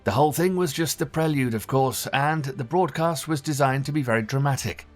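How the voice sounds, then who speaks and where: A man reading scripted documentary narration in a measured, formal way. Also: mid-range voice, soft background music.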